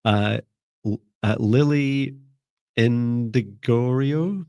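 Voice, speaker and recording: A man teaching a live online spiritual class, speaking in a calm, gentle, soft-spoken way and pausing often to restart his sentences. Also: mid-range voice, smooth, no room sound. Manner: hesitant, with repeated restarts. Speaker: spiritual mentor. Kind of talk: live online class, reading viewer comments.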